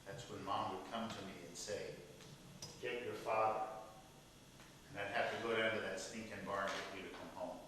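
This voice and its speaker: A man's voice: fairly low pitch, steady tone, moderate pace, long pauses, lightly acted. Man acting exasperated son, distant echoey stage. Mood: reproachful. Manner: incredulous, sarcastic, aggrieved. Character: exasperated, sarcastic grown son of an aged father. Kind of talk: stage play dialogue. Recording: echoey stage hall from far off, faint noise.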